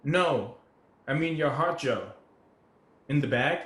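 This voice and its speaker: A man playing an easygoing, good-natured homeless man in a play reading, gentle and appreciative, with folksy street talk, recorded in an echoey room. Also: fairly high pitch, expressive, slow. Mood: grateful. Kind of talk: dramatic table reading of a stage play. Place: indoor room with echo, virtual reading.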